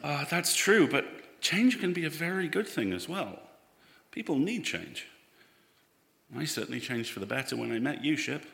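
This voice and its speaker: A man's voice: fairly high pitch, moderate pace, creaky, heavily acted. An actor playing an earnest, sentimental man in a live audio drama, speaking with open emotion. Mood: warmly animated. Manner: emotional, expressive. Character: earnest, sentimental man, attached to his ship friend. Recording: echoey live hall, reverberant.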